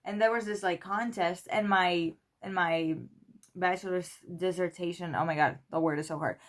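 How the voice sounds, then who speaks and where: A woman's voice: on the low side, normal speed. A woman in her twenties from Spain, an English speaker, telling a rambling story to camera with lots of "like" and "you know". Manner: chatty, rambling, full of "like" and "you know", lively quoted voices. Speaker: PhD student vlogger.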